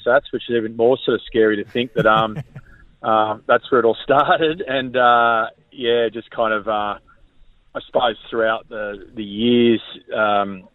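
An Australian man in his forties answers questions as a guest, relaxed and rambling, with plenty of ums. His voice is middle pitched. He comes through a tinny phone line. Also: measured pace, no echo. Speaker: guest, former rugby league player. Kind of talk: casual interview.

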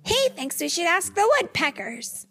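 Storybook young rabbit, male, bold and friendly, pitched well up and calling out eagerly; performed by a woman. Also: very animated, measured pace, creaky, over music, exaggerated voice. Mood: excited.

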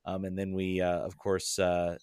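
A man's voice: sits low, monotone, moderate pace, darkish tone, clean tone, no room sound, clean studio sound. A man chatting casually as a guest on a fan podcast, rambling and laughing easily.

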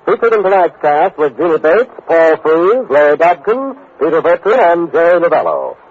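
Male American announcer, 1950s network promo style, high husky voice, tinny narrow-band recording. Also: very animated, moderate pace. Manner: formal, polished promotional delivery. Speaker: radio announcer.

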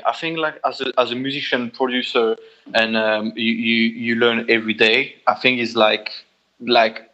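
A Belgian man, a native French speaker with a Belgian French accent, chatting as a guest musician in an interview, halting and restarting often. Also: medium pitch, pushed and bright, highs cut off. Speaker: guitarist, guest. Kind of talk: casual interview chat.

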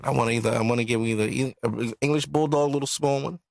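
A man's voice: mid-range voice, fairly even intonation, quick pace, gravelly, no room sound. A man in his thirties co-hosting a podcast, talking candidly, joking and reflective. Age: 30s. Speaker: podcast co-host. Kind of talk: casual chat.

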